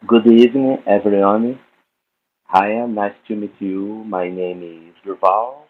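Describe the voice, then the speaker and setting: Low-voiced man on a tinny line. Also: fairly even intonation. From Brazil. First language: Portuguese. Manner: halting, simple English, repeating words. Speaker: participant asking questions. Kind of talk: casual questions on a video call. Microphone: video call. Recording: tinny phone-like call line.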